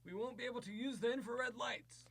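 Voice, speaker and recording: A man playing a jittery young navy lieutenant on a spaceship in a student radio drama, giving brisk reports. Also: very high-pitched, fairly bright tone, no echo. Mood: dutiful. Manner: brisk crisp reports, edgy.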